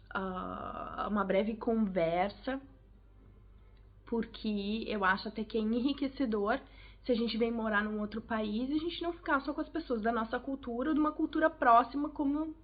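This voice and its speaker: A woman from Brazil with a Brazilian Portuguese accent, giving chatty, direct advice to her viewers in a casual vlog. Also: a little high. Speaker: vlogger, English teacher. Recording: tinny phone-like sound.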